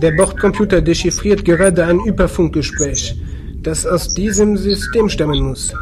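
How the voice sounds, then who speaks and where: A man's voice: high-pitched, moderate pace, music in the background, lightly acted. An actor playing a clipped, matter-of-fact male starship crew member giving reports in a German science fiction audio drama. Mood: businesslike. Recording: clear noisy spaceship ambience.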